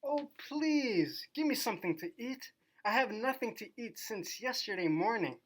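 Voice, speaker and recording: A woman's voice: fairly high pitch, lively intonation, normal speed, rough recording. A woman voicing a polite, eager young boy in a children's puppet show. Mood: polite pleading.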